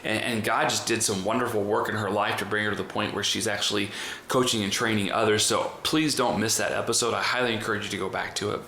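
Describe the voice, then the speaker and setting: A man's voice: medium pitch, pushed and bright. A man hosting a conversational podcast interview, talking in a chatty, wordy way. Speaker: podcast host and trainer. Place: indoor room in the US. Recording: roomy echo, faint background noise.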